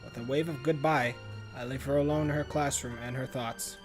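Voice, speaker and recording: A man's voice: mid-range voice, steady tone, fairly quick, over music. A man reading visual novel narration aloud as a let's player, occasionally stumbling and rereading words.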